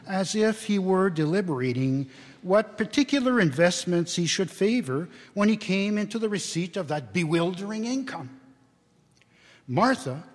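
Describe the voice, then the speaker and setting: A man giving a theatrical, expressive live storybook narration in a church. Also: sits high. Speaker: dramatic reader. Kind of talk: scripted storybook narration before an audience. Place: inside a church, live reading. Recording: church hall with long reverb.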